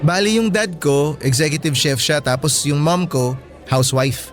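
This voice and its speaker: A man's voice: on the high side, lively intonation, normal speed, faint music behind, lightly acted. A man performs a well-off teenage schoolboy in a radio drama. He is friendly and curious, with an easygoing, casual delivery.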